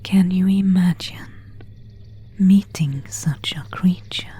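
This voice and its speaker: Woman playing a slow, whispering vampire seductress. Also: fairly low pitch, mellow, exaggerated voice. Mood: softly alluring. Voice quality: breathy, unvoiced whisper. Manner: slow, formal, old-fashioned phrasing. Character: seductive, predatory female vampire, courteous and menacing. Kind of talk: whispered ASMR roleplay.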